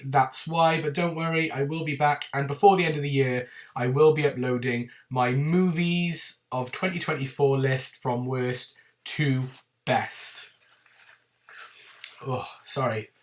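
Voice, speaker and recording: A man talks to camera about a film series in a loose, chatty way, with plenty of ums and little apologies. His voice sounds congested and slightly rough from a lingering cold. He is picked up from a little distance away from the microphone. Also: mid-range voice, fairly bright tone. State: bunged up and slightly rough from a winter illness. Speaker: YouTube channel host. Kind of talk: casual film review to camera.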